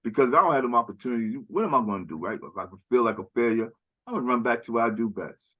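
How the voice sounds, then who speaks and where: A man's voice: medium pitch, animated, racing, pushed and bright, muffled recording. A man speaking in a casual conversational chat as a podcast guest, rambling and often tagging "right?" and "you know".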